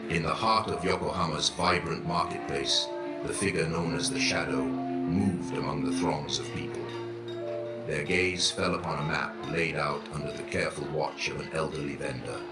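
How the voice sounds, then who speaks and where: A man's voice: a bass voice, steady tone, normal speed. A man narrating a scripted dramatic story as the narrator of an audio drama. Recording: roomy echo with music underneath. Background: music.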